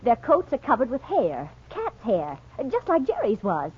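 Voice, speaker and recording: An actress playing a shrewd, self-assured amateur sleuth wife, matter-of-fact, in a 1940s radio mystery. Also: female voice, a little high, huge pitch swings, a little slow, heavily acted. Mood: confident accusing. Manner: confident, matter-of-fact, crisp deductions. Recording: tinny, narrow 1940s radio recording.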